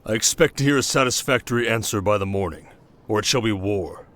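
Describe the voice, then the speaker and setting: A man's voice: on the low side, brisk, a bit bright, close to an everyday voice. A man playing a proud, protective warrior king in a fantasy roleplay, with a commanding, sardonic manner. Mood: scornful anger. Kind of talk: dramatic fantasy ASMR roleplay.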